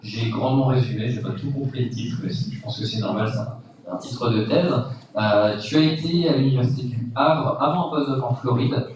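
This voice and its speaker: A man's voice: mid-range voice, normal speed, darkish tone, recorded from a distance, a little hiss or hum. A man hosting a science talk, introducing the speaker in an informal, joking and stumbling way. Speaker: event host. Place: large lecture hall. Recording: echoey lecture hall, from afar.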